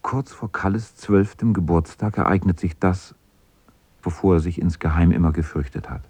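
A man's voice: low voice, normal speed, darkish tone, husky, dry recording. A man narrating a children's radio play as a composed storyteller, reading from a script.

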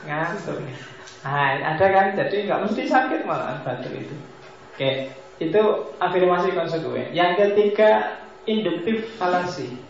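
A man's voice: a little high, slight background noise. Joking man lecturing in an echoey hall. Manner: joking, mixes Javanese asides. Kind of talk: casual philosophy lecture. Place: large mosque hall. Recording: echoey hall, dull lo-fi sound.

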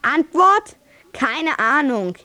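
An actress playing a playful, taunting narrator of a radio play, announcing scenes and chanting mocking rhymes in a sing-song way. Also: female voice, high voice, very animated, extremely slow, bright, forceful tone, no echo, heavily acted. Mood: teasing.

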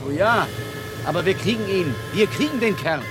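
An actor in a German radio drama, playing a hearty, determined English gentleman on an adventure. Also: high voice, lively intonation, moderate pace. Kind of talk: German radio play acting. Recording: noisy engine-like sound-effect bed.

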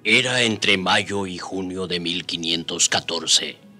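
Deep, sharp-voiced old-time storyteller. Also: male voice, slow pace, faint music behind, heavily acted. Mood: reminiscent. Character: reminiscing storyteller, an eyewitness of colonial Cuba. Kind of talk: scripted radio drama narration.

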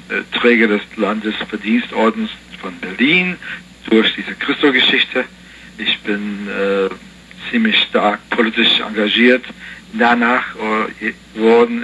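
A man's voice: on the low side, pushed and bright, a little hiss or hum. Older American man on a muffled phone line. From United States. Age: 60s. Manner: hesitant, many fillers and restarts. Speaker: interview guest. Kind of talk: phone interview. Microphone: telephone.

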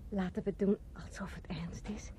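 An actress playing an excitable young woman in a 1960s radio drama, halting, breathless and theatrical. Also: medium pitch, whispered, lightly acted. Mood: playful, eager. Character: excitable young woman craving thrills, turning terrified. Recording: noisy old radio recording.

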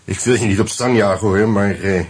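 A husky, wry, sceptical friend. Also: male voice, on the low side, a little fast. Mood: doubtful, wry. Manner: hesitant, stammering while doubting. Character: sceptical, wry male friend and drinking companion. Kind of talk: Dutch radio play acting.

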